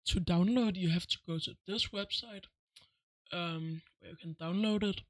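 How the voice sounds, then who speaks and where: A man with a noticeably high voice talks through a casual software how-to. He gives plain, simple instructions one step at a time. The sound is dry, with very little room on it. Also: normal speed, dry recording. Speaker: tutorial host.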